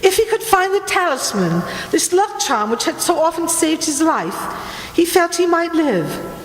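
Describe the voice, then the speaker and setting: A woman's voice: extremely high pitch, very animated, a little slow, heavily acted. A woman playing an imperious, obsessive Italian noblewoman in a radio play, theatrical and grandly condescending as she tells ghostly legends. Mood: rapt, impassioned. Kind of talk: dramatic radio play acting. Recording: roomy, echoing hall, faint noise.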